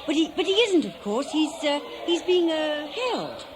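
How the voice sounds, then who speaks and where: A woman acting a spirited, coaxing young goddess in a radio play, excitable and full of exclamations. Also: high-pitched, measured pace, music in the background. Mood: flustered.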